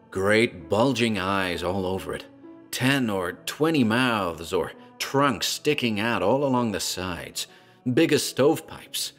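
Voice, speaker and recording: A man's voice: mid-range voice, a bit bright, pitched above the performer's own voice. A man voicing a terrified rural man who babbles in shock, his speech broken and gasping. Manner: broken, gasping, half-coherent muttering.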